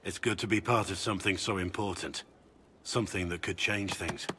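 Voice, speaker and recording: An actor playing a grave, weary, duty-bound veteran warrior in a video game, speaking in an earnest, formal, measured way. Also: male voice, deep voice, fairly even intonation, background noise, natural voice. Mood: reflective. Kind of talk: dramatic video game dialogue.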